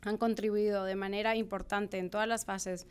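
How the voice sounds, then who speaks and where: A woman reads a formal proclamation in a steady, formal manner at a podium microphone in a council meeting room. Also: medium pitch, fast talker, smooth. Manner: steady, formal, with small restarts. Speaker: council member reading a proclamation.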